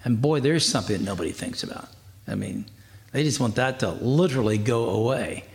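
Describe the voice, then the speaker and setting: A man hosting an interview, chatty and quick to laugh, asking his guests leading questions. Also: mid-range voice, vocal fry. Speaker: radio interview host. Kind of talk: conversational interview.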